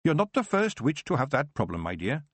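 A man reading aloud, voicing a nosy, brisk elderly woman who is a witch and runs a shop. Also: on the high side, wildly swooping pitch, slightly rough, no echo, voice pushed up, exaggerated voice. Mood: motherly, coaxing. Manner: brisk, probing, motherly.

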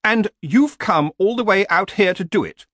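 A man's voice: high-pitched, expressive, normal speed, fairly bright tone, no echo, studio quality, voice pushed up. A man voicing a talking horse, a fretful and sensible companion who nags and reasons in a plaintive way, in a storybook reading. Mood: anxious.

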